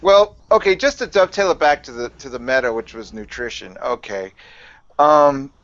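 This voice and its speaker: A man hosts a casual conversation, steering it back on track and tossing in quick quips. His voice sits in the middle range. The sound is muffled and lo-fi. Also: mid-range voice, animated. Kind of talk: casual chat.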